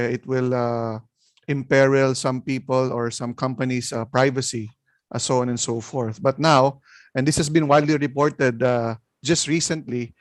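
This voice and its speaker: A man, a congressman on a panel, explaining in a measured way and filling his pauses with "uh". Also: mid-range voice, no echo, clean studio sound.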